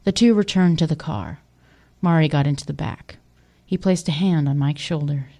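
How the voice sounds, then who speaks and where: A woman's voice: sits low, normal speed, warm tone. A woman reading scripted narration for an audio drama.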